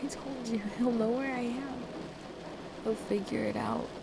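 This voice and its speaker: A woman acting in an intimate ASMR roleplay drama as a distressed, defensive woman who wants to leave her partner, speaking in a halting, emotional way. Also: a little high, fairly even intonation, gravelly, very noisy. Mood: weary, subdued. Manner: halting, emotional, pleading conversational delivery. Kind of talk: intimate ASMR roleplay audio drama, one side of a conversation.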